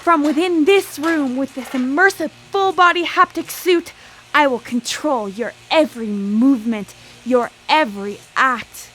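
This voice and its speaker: A woman's voice: sits high, measured pace, noisy background. An actress playing an obsessive, ruthless female scientist in a theatrical, formal manner for a live radio drama. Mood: triumphant. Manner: grandiose, theatrical, formal speech.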